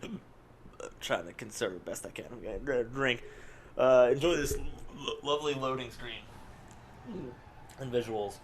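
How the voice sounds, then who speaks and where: A man who is sick with a raspy voice, playing a car combat game and rambling through casual commentary with lots of fillers. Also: medium pitch, normal speed, long pauses, slight background noise. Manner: rambling, excitable, many fillers. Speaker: let's play gamer. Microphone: desk microphone at home.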